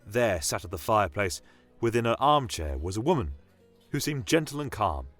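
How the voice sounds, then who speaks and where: A man narrating a scripted first-person fantasy tale in a measured, solemn, dramatic manner. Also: lowish voice, very animated, fairly bright tone, soft background music. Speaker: storyteller.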